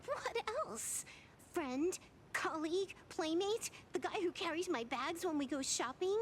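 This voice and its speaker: An actress voicing a sharp-tongued young woman in a video game, proud and wry yet guarded about her soft heart. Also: very high-pitched, expressive, measured pace, slight background noise. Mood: exasperated. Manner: wry, teasing, emotionally guarded. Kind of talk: scripted video game voice acting.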